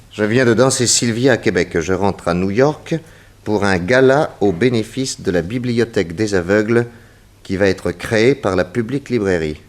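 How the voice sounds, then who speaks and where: An actor playing a calm, shrewd detective in a 1960s French radio drama, probing and methodical, politely commanding. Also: male voice, fairly low pitch, moderate pace, lightly acted. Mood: reading aloud. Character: calm, shrewd male detective leading an inquiry.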